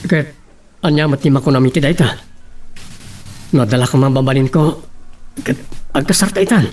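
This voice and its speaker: A man's voice: medium pitch, moderate pace, darkish tone, faint music behind, close to an everyday voice. A man with an Ilocano accent playing an easygoing young boyfriend in a romance radio drama. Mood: consoling.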